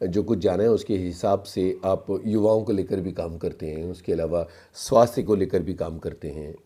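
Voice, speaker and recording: A man hosting a formal radio interview in a studio, asking questions in a polite, measured way. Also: deep voice, steady tone, mellow, breathy edge.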